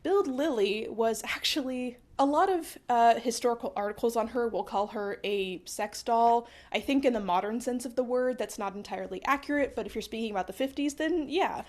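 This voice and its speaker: A woman's voice: on the high side, moderate pace, hardly any pauses, a little mellow. A young woman with a stuffed-up voice from a cold, explaining history in a rambling, self-deprecating way, full of wry asides, in her small apartment. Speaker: history video host.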